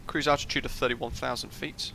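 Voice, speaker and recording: A man's voice: mid-range voice, steady tone, a little fast, gravelly, sharp tone, a little hiss or hum. A young British man casually commenting on a live flight sim stream, rambling with lots of fillers and answering chat.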